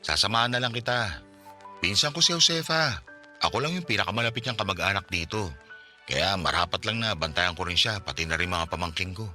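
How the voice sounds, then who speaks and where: A Filipino man voicing a loyal friend and cousin in a radio drama, caring at first and quick to anger at injustice. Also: low voice, animated, bright, forceful tone, faint music behind, heavily acted. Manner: flares into angry outbursts. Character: loyal male friend and cousin, bank security guard, hot-tempered about injustice. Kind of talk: Tagalog radio drama acting.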